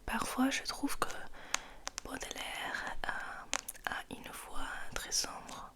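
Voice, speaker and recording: A woman speaking in a breathy whisper in ASMR style, halting gently between short phrases. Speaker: host of an ASMR channel. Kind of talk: ASMR, soft whispered rambling.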